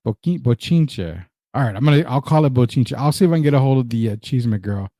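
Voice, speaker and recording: Mellow-voiced sports talk host. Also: male voice, medium pitch, lively intonation, fairly quick, dry recording, studio quality. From Puerto Rico. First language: Spanish. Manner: emphatic, repeats his points, reads out viewer comments. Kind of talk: casual sports talk commentary.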